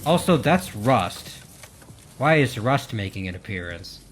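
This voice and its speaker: A man's voice: medium pitch, big pitch swings, unhurried, slight background noise. A man giving casual reaction commentary on game cutscenes, speculating and thinking aloud. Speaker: gaming video reactor. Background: game cutscene music and dialogue.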